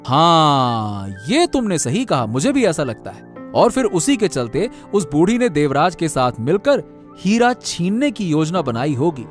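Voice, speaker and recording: A man voicing a concerned, excitable village man in a cartoon, questioning and emphatic. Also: fairly high pitch, a little slow, faint music behind. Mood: indignant.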